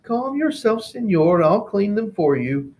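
A man voicing a proud, sharp-tongued young female cockroach who speaks with dry, icy politeness. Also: sits high, sing-song, a little slow, voice pushed up, full character voice.

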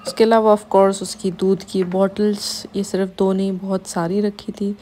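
A woman's voice: mid-range voice, flat delivery, measured pace, warm tone, slight background noise. A woman, narrating a family vlog in a casual, chatty style. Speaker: family vlogger. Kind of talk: casual vlog narration mixing Hindi and English.

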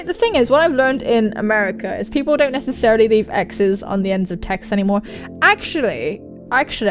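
Young woman doing lets play commentary, really animated voice, excitable and sweary, game music behind. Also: fairly high pitch. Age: young adult. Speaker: gaming video host. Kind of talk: let's play commentary, reading visual novel aloud. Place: indoor room at home, on webcam. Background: game soundtrack music.

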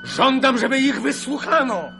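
An actor playing a bewildered, protesting idealist in a grotesque radio play, declaiming and repeating words with desperation. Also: male voice, very high-pitched, measured pace, vocal fry, over music, heavily acted. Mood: insistent. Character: anguished, bewildered idealist protesting a mock trial.

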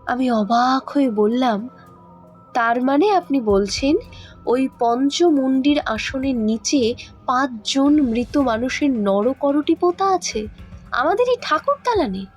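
A woman playing an awed, curious young woman who narrates a horror story in the first person, expressive and earnest. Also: sits high, takes it slowly, husky, faint music behind, heavily acted. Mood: astonished.

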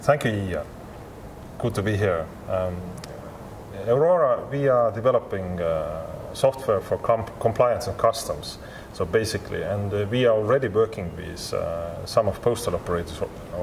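A man in his forties giving interview answers as a company representative, in halting, repetitive non-native English. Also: fairly low pitch. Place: large glass-walled expo hall, indoors. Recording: clear ambient hum of large venue.

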